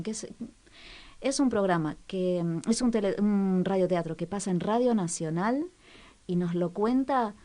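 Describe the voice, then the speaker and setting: A woman's voice: fairly low pitch, mellow. A woman co-hosting a cultural radio show in a casual, conversational way, searching for words and repeating for emphasis. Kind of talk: casual spoken radio segment.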